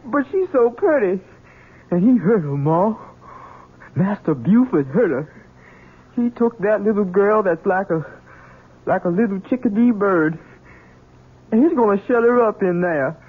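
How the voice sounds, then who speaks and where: A high, husky servant stammers in distress. His voice comes through thin and phone-like, as on an old broadcast. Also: expressive, heavily acted. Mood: anguished. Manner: halting, anguished. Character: simple-hearted servant son, gentle, desperate. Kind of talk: 1970s radio drama acting.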